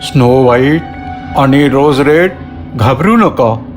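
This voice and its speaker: A man voicing a friendly, earnest talking bear in a children's cartoon story, speaking in a measured, reassuring way. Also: medium pitch, faint music behind, natural voice.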